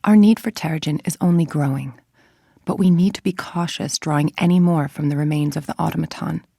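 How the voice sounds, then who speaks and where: Game villainess, scientist. Deep female voice, dry close recording, icy, precise and clinical delivery. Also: brisk, vocal fry, mellow, slightly rough, no room sound, exaggerated voice. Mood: clinical, guarded. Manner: clinical, articulate, controlled, formal. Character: cold, calculating villainous scientist woman running a research group. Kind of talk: scripted game voice-over, audio log monologue.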